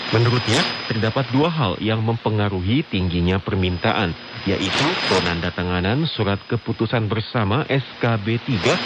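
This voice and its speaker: A man's voice: fairly low pitch, muffled recording, very noisy. A man reads scripted radio news as a co-host on a shortwave broadcast.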